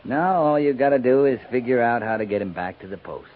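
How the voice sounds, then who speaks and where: A man's voice: medium pitch, expressive, close to an everyday voice. An American man acting a laconic, dryly teasing, weary cavalry captain in a 1950s radio drama, speaking tersely and without hurry. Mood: relaxed, teasing. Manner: terse, dry, unhurried. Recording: muffled, noisy 1950s radio recording.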